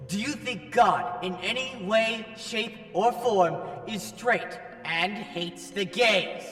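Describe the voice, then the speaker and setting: Flamboyant, preachy angel, male narrator acting, high male voice, echoing hall effect, foul-mouthed and scolding. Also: takes it slowly, fairly bright tone. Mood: pompous, preachy. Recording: reverberant hall effect over faint music.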